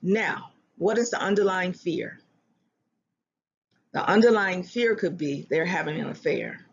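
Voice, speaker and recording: A speaker giving earnest relationship advice in a self-help talk, often restarting phrases and slowing down to stress a point. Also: medium pitch, lively intonation, lo-fi sound, a little hiss or hum. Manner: earnest, repeats and restarts phrases, slows for emphasis.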